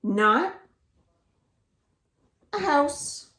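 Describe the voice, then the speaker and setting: A woman hosting a children's storytime from home, reading aloud expressively with deliberate, dramatic emphasis for young listeners. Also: sits high, sing-song, bright, forceful tone. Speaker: library storytime host. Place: indoors at home. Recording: roomy, echoing home recording.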